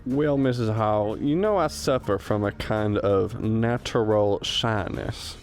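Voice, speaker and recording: A man's voice: on the low side, takes it slowly, darkish tone, soft background music. A man acting a shy, absent-minded bachelor professor in a radio comedy, with a hesitant, folksy, wry delivery.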